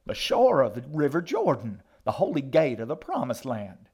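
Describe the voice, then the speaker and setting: A man voicing a fanatical, hate-filled old woman who preaches righteously in a loud, grating voice for a story. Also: mid-range voice, wildly swooping pitch, normal speed, breathy edge, voice pushed up, exaggerated voice. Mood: accusing. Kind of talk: audiobook character acting.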